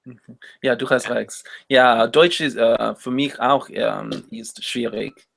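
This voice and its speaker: A man's voice: medium pitch, steady tone, takes it slowly, gravelly, a bit bright. A man hosting a casual interview, asking simple questions and repeating answers back. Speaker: interviewer, host.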